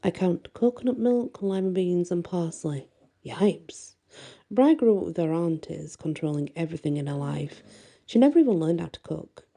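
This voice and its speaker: A woman reading a first-person bedtime story expressively, with a soft voice. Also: on the low side, expressive, moderate pace, gravelly. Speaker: audiobook reader and storyteller.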